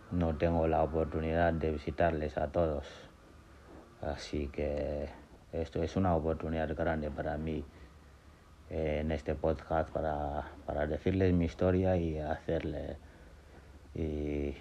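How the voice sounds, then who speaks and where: A young man from Gambia and Senegal telling his life story on a podcast, in a halting, casual way with many fillers and restarts. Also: rumbling low, little pitch movement, mellow. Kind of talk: casual personal monologue. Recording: dull lo-fi sound with faint noise.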